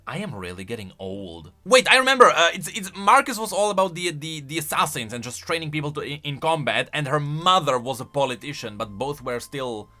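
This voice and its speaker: Very bright, excitable man doing reaction commentary. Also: fairly high pitch. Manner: excitable, emphatic. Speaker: host, game lore commentator.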